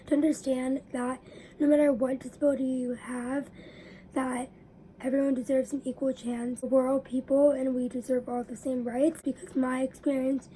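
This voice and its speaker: A young woman vlogging to her viewers, her voice raspy from allergies, explaining earnestly and sometimes dropping to a whisper. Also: high voice, mellow, slight background noise, poor audio. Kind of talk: personal talk to camera.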